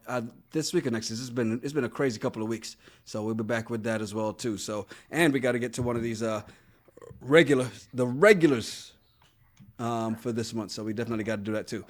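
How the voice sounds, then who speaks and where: A man co-hosting a casual podcast, chatting loosely, reading viewer comments aloud, laughing often and repeating words. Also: medium pitch. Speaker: podcast co-host. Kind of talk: casual chat reading live chat.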